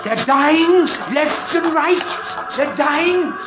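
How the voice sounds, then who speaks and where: A man's voice: extremely high pitch, extremely slow, exaggerated voice. A man playing a mad professor, frantic and pleading, in overwrought old-time radio melodrama. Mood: crazed. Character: frantic mad professor obsessed with killer insects. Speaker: radio actor. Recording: muffled old radio recording, echoey, loud music.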